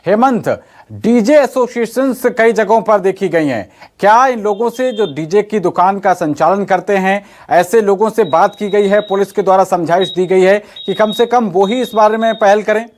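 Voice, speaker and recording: Male news anchor quizzing reporters live, pretty high voice. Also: normal speed, a little mellow.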